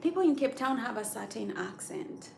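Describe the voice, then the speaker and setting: A woman's voice: a little high, lively intonation, normal speed, vocal fry, background noise. A woman from East Africa chatting live with viewers, laughing often and teasing their guesses, with a sore throat. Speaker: livestream host. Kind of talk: casual live chat with viewers.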